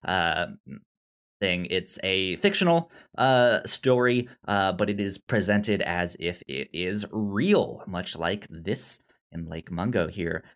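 A man chatting casually as a podcast co-host, halting as he thinks aloud. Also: deep voice, expressive, fairly bright tone. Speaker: podcast co-host giving recommendations. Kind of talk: casual film discussion chat.

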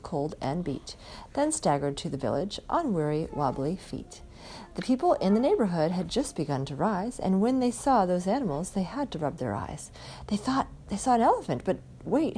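A woman reading a rhyming picture book aloud in an expressive, dramatic way. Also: lowish voice, a little hiss or hum. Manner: dramatic, animated delivery. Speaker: storybook reader.

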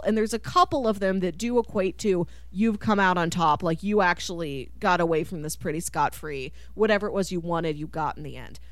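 A chatty woman with a mid-pitched voice, recorded dry and close. She talks casually as a podcast co-host, animated and quick to act out imagined reactions, often with laughter in her words. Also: no echo. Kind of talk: casual film discussion.

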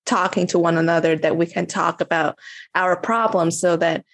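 A woman's voice: on the low side, fairly even intonation, normal speed, no echo. A woman from Vietnam, a coach and podcast guest, talking in a rambling, casual way with many fillers and restarts. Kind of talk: casual interview chat.

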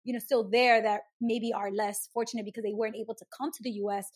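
A woman from New York with a New York accent, talking in an animated, earnest way in a casual podcast chat. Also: a little high, fast talker, slightly rough. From New York, Dominican family.

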